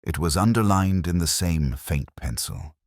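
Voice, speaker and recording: Man acting a brooding detective inspector, extremely low voice, expressive pitch movement, erudite, measured literary phrasing, audio mystery narration. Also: no echo, clean studio sound. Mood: brooding, reflective. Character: world-weary, erudite male police detective inspector narrating a case. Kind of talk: scripted first-person mystery narration.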